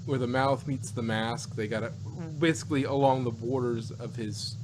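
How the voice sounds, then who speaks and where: Halting man chatting over noticeable noise. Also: medium pitch, steady tone. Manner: rambling, halting, repeats and restarts phrases. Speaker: podcast co-host. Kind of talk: casual chat, reviewing sci-fi. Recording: noisy home recording with hum.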